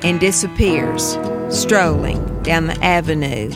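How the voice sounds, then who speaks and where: Slow-paced narrator over prominent music. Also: mid-range voice. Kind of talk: scripted narration of a spoken-word story. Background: music under the voice.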